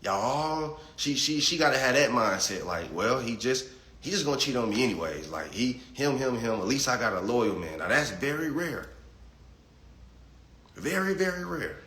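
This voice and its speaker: A man's voice: medium pitch, sing-song, normal speed, a bit bright, roomy sound. A man in his late twenties talking bluntly and profanely on a casual livestream. He reads chat comments and often repeats words as he starts a thought. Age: 20s. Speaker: livestream host reading chat.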